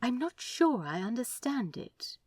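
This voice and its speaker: A woman's voice: on the high side, big pitch swings, a little slow, darkish tone, husky, no echo, clean studio sound, voice pushed up, full character voice. A woman voicing a prim, lilting, earnest angel. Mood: fascinated. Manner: hesitant, polite, fussy phrasing. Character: prim, earnest, tentative male angel, curious and fretful.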